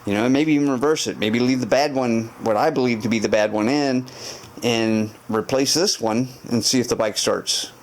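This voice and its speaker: A man's voice: medium pitch, normal speed, rough recording. A man in his garage talking through a motorcycle repair to camera, thinking aloud with lots of fillers and restarts. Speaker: motorcycle restoration vlogger. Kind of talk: casual garage walkthrough to camera. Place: home garage.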